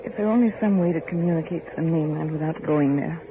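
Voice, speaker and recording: A woman's voice: low voice, fast, narrow, tinny audio, close to an everyday voice. An idealistic, anxious heiress acted by a woman in a 1940s radio play. She speaks with earnest theatrical feeling. The sound is very thin and boxy, with faint old-recording noise. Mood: uneasy. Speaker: radio actress. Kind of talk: 1940s radio drama acting.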